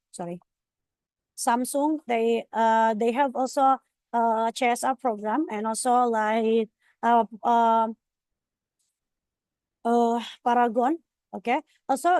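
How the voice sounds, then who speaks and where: A woman's voice: fairly high pitch, a little mellow, dry recording. A young woman from Indonesia with an Indonesian accent, giving an online orientation presentation with many fillers and restarts. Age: young adult.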